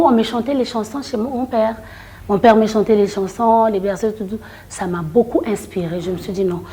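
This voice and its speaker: A middle-aged woman from the Congo, a singer and storyteller, answering interview questions in a hesitant way, with many restarts and fillers, often trailing off quietly. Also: fairly high pitch, breathy edge. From Republic of the Congo.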